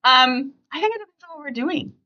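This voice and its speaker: A woman's voice: high voice, lively intonation, a little fast, dull audio. A middle-aged woman hosting a podcast, chatting casually and emphatically with family. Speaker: podcast host.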